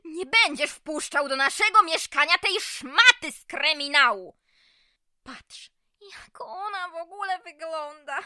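A woman's voice: sits high, expressive, measured pace, sharp tone, no echo, studio quality, full character voice. An actress in an audio drama playing a spiteful, haughty woman who mocks and sneers. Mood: scornful, angry. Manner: mocking, sneering. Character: spiteful, haughty woman, the new live-in lover.

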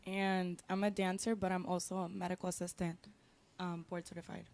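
A woman's voice: fairly low pitch, level tone, fairly quick, a little mellow. A woman in her early twenties, a podcast guest who answers politely. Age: 20s. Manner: polite, answers with "yes, sir".

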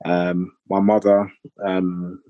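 A man, from Trinidad, speaking as a podcast guest in a candid, hesitant way with many pauses and ums. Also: sits low, completely flat delivery, no echo, highs cut off. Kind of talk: candid personal conversation.

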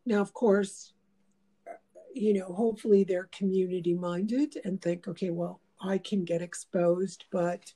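A woman's voice: medium pitch, steady tone, a little slow, warm tone, slightly rough. A woman hosting a casual chat, talking in a meandering, reflective way with many fillers. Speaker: host.

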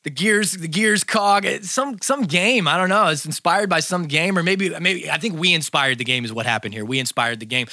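A man's voice: fairly high pitch, moderate pace, few pauses, sharp tone, no room sound, clean studio sound. A young man hosting a podcast, talking in a hype, rambling way with lots of fillers, a bit congested from a cold. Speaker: podcast host. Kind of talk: casual podcast chat.

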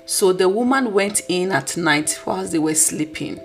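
A woman with a husky voice hosting a podcast, delivering an earnest, preachy motivational talk. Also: fairly low pitch, expressive, a little slow, faint music behind. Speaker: podcast host.

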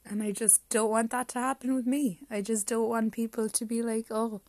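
A woman's voice: on the high side, little pitch movement, moderate pace, darkish tone, no room sound. A young Irish woman hosting her own podcast, chatting casually, rambling, swearing freely and talking to herself. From Ireland. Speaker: podcast host. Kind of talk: casual solo podcast chat.

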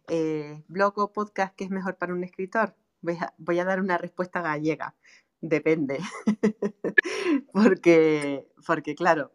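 A woman's voice: low voice, clear, resonant tone. A woman with a strong Canarian Spanish accent, talking casually as a guest expert, with frequent fillers and easy laughter. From Canary Islands. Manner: laughs easily, many fillers and restarts. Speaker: guest expert on online marketing for writers. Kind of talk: casual conversational talk.

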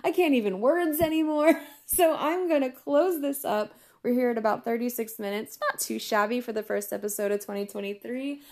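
A woman hosting a casual solo podcast, chatty and upbeat with frequent laughs, her voice going hoarse. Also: a little high, normal speed, few pauses, a little mellow. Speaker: podcast host.

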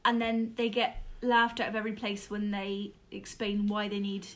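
A woman's voice: mid-range voice, completely flat delivery, normal speed. A woman with a blocked-up nose, chatting casually and laughing often while recapping a film. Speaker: podcast co-host.

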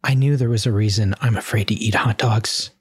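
A woman playing a sulky, suspicious teenage boy in a comedic table read, grumbling and deadpan. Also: rumbling low, fairly quick, husky, full character voice.

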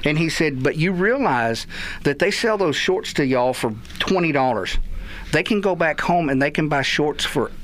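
Texan man telling animated stories. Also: on the high side, moderate pace, a little hiss or hum. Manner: animated storyteller, acts out quotes. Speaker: guest, youth baseball parent. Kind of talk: casual radio chat, storytelling.

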